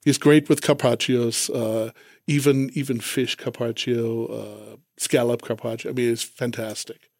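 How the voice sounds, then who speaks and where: A man's voice: mid-range voice, level tone, measured pace, vocal fry, darkish tone, no echo, clean studio sound. A man from Germany with a German accent, talking casually as a business guest in an interview.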